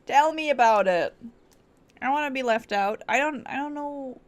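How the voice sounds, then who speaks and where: A woman's voice: high voice, normal speed. A woman streamer giving casual, playful and reactive commentary as she plays through a game.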